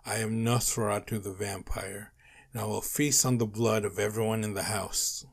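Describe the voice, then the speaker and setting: A man's voice: lowish voice, steady tone, moderate pace, vocal fry, husky. A man voicing a frustrated vampire monster in a story, complaining in an exasperated way. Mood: menacing. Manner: exasperated, shouting his complaints.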